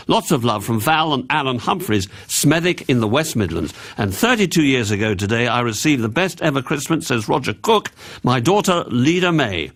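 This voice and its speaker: A man's voice: fairly high pitch, a bit bright. Chatty male radio host. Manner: jokey asides and rhetorical questions. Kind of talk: chatty radio presenting, reading listeners' messages.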